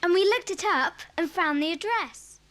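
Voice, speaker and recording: A woman's voice: extremely high pitch, lively intonation, moderate pace, a bit bright. A woman playing an eager, clever schoolgirl in a children's audio drama. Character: Pam, very high-voiced eager clever schoolgirl.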